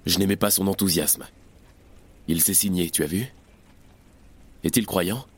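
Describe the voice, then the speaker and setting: Actor for austere, unbending male revolutionary in a radio play; deep husky voice, grave delivery. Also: measured pace. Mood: grim, reflective. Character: harsh, unbelieving, hard-line male revolutionary. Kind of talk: audio drama acting of a stage play.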